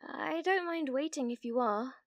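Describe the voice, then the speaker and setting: High-voiced British gamer girl, acted by a woman. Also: no echo. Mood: flustered, eager. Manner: halting, flustered, breathy laughs and hesitations. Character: needy, obsessive young gamer woman, nervous and awkward. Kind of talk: ASMR roleplay.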